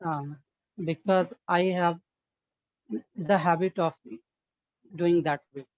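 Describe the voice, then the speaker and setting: A woman, a non-native English learner, practising sentences in a speaking exercise in a hesitant, halting manner. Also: lowish voice, fairly even intonation, a little mellow, no echo. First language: not English. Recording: muffled, narrow phone-like call audio.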